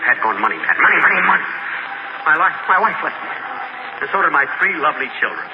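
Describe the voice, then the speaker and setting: A man's voice: fairly high pitch, very animated, fast talker, background noise. A man giving mock-solemn, melodramatic narration in a 1940s radio comedy sketch. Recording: muffled old radio broadcast with audience.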